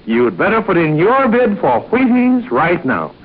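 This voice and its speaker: A man's voice: sits high, big pitch swings, a little slow. A man announcing a 1940s radio commercial in an emphatic, salesmanlike way, speaking directly to young listeners. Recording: dull, lo-fi 1940s radio recording.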